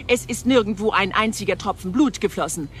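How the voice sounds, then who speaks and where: An actress playing a self-assured news reporter in a radio drama, now and then searching for words. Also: female voice, medium pitch, brisk, a little hiss or hum, lightly acted. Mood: matter-of-fact, assured.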